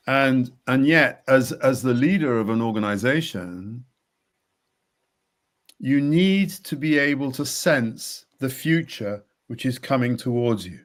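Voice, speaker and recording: Slow-paced male coach, measured and reflective, middle pitch. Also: expressive. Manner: slow, measured, with repeated words and soft laughs. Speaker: executive coach. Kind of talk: reflective podcast conversation.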